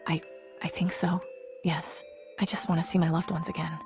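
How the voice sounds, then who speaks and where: Low-pitched, earnest young woman. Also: level tone, moderate pace, gravelly, music in the background. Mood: troubled. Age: young adult. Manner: earnest, hesitant, plain-spoken. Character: weary, troubled young woman, a dying survivor. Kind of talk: video game character acting.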